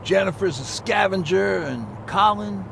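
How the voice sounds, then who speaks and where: An actor playing the calm, matter-of-fact leader of a survivor group in a video game, with a gravelly voice. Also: male voice, high voice, a little slow, slight background noise, heavily acted. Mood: friendly, probing. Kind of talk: video game cutscene acting.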